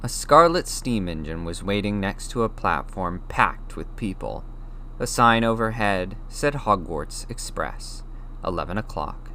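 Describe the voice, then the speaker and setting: A man's voice: low-pitched, lively intonation, a little slow, bright, forceful tone, a little hiss or hum. A man reading a story aloud as scripted audiobook narration. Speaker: audiobook reader.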